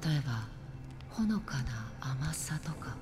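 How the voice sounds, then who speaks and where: An actress playing a calm, melancholy, detached wandering woman in a Japanese video game, solemn and softly breathy at phrase ends. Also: extremely low pitch, slow, over music, full character voice.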